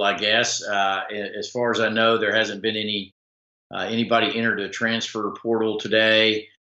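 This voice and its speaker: Rambling man with a bright, rough voice. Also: fairly low pitch, reverberant, highs cut off. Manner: rambling, halting with many fillers and restarts. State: slightly rough voice. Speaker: sports talk show host. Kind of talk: casual live-stream commentary reading viewer comments.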